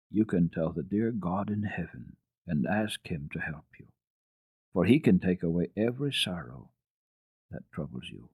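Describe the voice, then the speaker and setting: Kind old storybook grandmother, played by a male reader: low, husky, patient, gently coaxing. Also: no echo, exaggerated voice.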